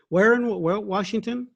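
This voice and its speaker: A man's voice: high-pitched, slow, creaky, highs cut off. A man chatting casually on a video call, friendly and laughing easily. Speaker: newly appointed university regent.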